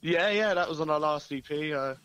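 A man being interviewed about his band, relaxed and hesitant, with many fillers. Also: on the high side, fairly quick, clear, resonant tone. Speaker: band member, interview guest. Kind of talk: casual interview. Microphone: phone line.

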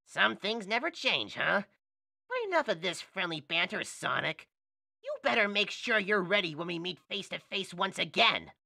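Guy doing a smug game bird thief, swaggering, sharp and real animated. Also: high voice, moderate pace, gravelly, dry recording, studio quality, heavily acted. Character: cocky, taunting male hawk rogue thief.

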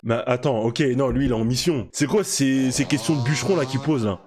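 A young man reacting to a video from a small home room on a headset mic, excitable and mocking, often bursting out in disbelief. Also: medium pitch, brisk, slight background noise. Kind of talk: casual reaction commentary.

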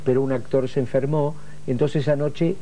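An Argentine man in his sixties tells personal memories as a talk show guest. His tone is dark and relaxed, over slight studio broadcast noise. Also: medium pitch, moderate pace, poor audio. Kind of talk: conversational reminiscence. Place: television studio.

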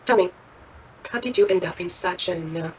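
A woman's voice: lowish voice, moderate pace, a little hiss or hum, close to an everyday voice, low-quality recording. A woman playing a playful, seductive and probing character in naturalistic drama dialogue, teasing as she talks.

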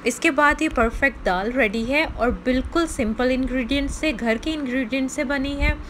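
Woman host, fairly high voice, patient step-by-step recipe voice-over, faint hiss. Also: moderate pace. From Pakistan. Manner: explains each step, often restating in simpler words. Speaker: cooking channel host.

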